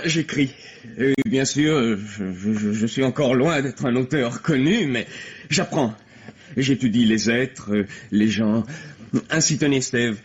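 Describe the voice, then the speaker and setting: A struggling playwright in an old radio mystery, effusive and self-absorbed. His voice is slightly husky, and he stammers and hesitates as he talks. The recording is dull and lo-fi. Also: mid-range voice. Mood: eager, chatty. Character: nervous, eager, self-absorbed struggling young male playwright. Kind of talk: vintage French radio mystery acting.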